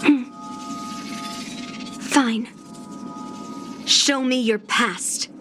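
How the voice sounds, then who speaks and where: High-voiced, stubborn girl warrior. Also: fairly even intonation, drawn-out words, music in the background. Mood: skeptical. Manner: defiant, blunt. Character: stubborn, bold young girl sword hunter. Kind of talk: video game voice acting.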